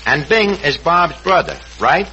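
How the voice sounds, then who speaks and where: Brash scheming barkeep, New York accent, pompous malapropisms, very bright and swooping, on a noisy old radio comedy. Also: male voice, on the high side, big pitch swings, unhurried, muffled recording, exaggerated voice. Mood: cocky. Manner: pompous malapropisms and slangy grammar. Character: brash, scheming tavern manager who mangles his words. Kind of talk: 1940s radio comedy acting.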